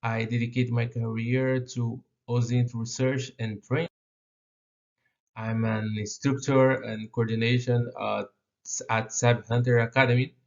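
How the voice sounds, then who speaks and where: Slow, gravelly Brazilian man presenting. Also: mid-range voice, little pitch movement, darkish tone, lo-fi sound. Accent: Brazilian Portuguese. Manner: halting non-native English with fillers and restarts. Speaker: conference speaker. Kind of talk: conference presentation.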